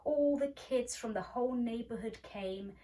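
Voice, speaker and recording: A woman reading a story aloud as a library storyteller, measured and expressive, recorded at home. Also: a little high. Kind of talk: storybook read-aloud narration. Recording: slightly distant microphone.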